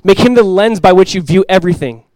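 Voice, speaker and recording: A man's voice: high-pitched, fast, a little mellow, no room sound, rough recording. Earnest man preaching in a dry close voice. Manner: earnest, conversational preaching with rhetorical questions. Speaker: pastor. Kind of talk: expository sermon.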